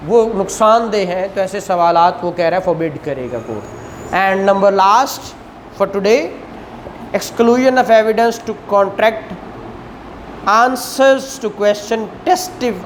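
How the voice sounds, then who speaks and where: Male law teacher, Pakistani accent, slow and deliberate, reads then explains, light background noise. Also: high-pitched, rough recording. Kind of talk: explanatory law lesson, reading statutes aloud then paraphrasing.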